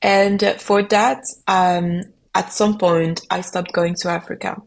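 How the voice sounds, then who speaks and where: Soft-toned reflective French-speaking woman. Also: fairly low pitch, fairly even intonation, unhurried, creaky. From Belgium. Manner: reflective, searching for words with frequent ums. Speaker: musician guest. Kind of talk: podcast interview answers.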